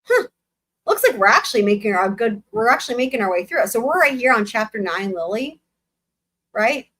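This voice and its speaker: Hoarse young woman with sarcastic asides. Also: on the high side, very animated, fairly quick, fairly bright tone. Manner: sarcastic, blunt asides with swearing. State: losing her voice, hoarse. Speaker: livestream host reading a book aloud. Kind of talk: live dramatic reading with commentary.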